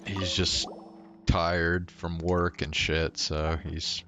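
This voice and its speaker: A man's voice: deep voice, level tone, very slow, a bit bright, faint music behind. A man streaming a game, talking casually and mumbling his thoughts. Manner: laid-back, mumbling. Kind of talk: casual gameplay commentary.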